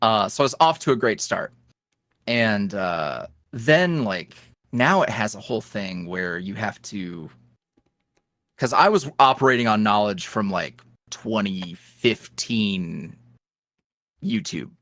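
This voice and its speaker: Guy on a podcast, bouncy lively pitch, laughs a lot, dry close sound. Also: takes it slowly, dry recording. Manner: animated, laughs often, jumps up and down in pitch. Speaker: guest, ASMR roleplay creator. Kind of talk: casual podcast chat.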